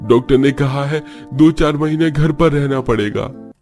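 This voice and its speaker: A man's voice: medium pitch, unhurried, a little mellow, faint music behind, lightly acted. A man playing a poor, plain-spoken labourer and family man who talks earnestly in a moral story.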